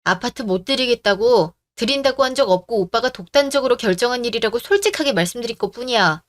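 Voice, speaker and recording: A woman plays a composed young heroine in a radio drama. She speaks calmly and reasons firmly. Her voice is a touch high. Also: fast, no echo, natural voice. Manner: calm, reasoned and firm. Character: calm, firm young woman who stands her ground.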